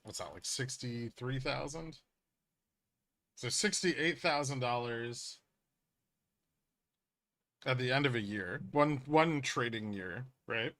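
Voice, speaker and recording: Man, mid-pitched, bright forceful voice, casual livestream host, blunt emphatic explainer, sweary. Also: takes it slowly, pauses often. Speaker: livestream host, trading educator. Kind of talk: casual livestream chat, answering viewer questions.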